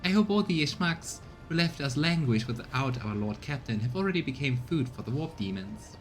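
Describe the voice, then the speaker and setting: A man's voice: mid-range voice, lively intonation, normal speed, faint music behind, lightly acted. A man voicing a devoted, solemn game officer who addresses a revered lord in formal, flowery speech. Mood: overjoyed, devout.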